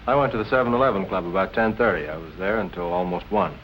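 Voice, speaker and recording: An actor playing a smooth, composed husband in a 1940s film noir crime story. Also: male voice, low-pitched, fast, fairly bright tone. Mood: defensive. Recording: noisy, muffled old film soundtrack, distant.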